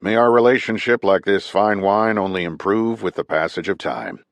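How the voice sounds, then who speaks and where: A man's voice: low voice, normal speed, gravelly, dry recording. A man voicing a genial, wealthy male vineyard owner who talks about wine with a showy, hearty manner. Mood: toasting.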